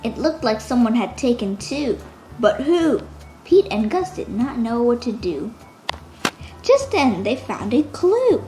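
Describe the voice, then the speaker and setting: A woman reading a children's storybook aloud in an expressive, deliberate narrating style. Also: on the high side, poor audio. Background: music.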